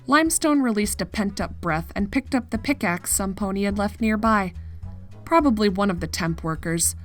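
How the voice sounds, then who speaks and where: A woman reads a piece of scripted fiction as its narrator. Her voice is medium in pitch, in a storytelling style, with faint music underneath. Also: moderate pace.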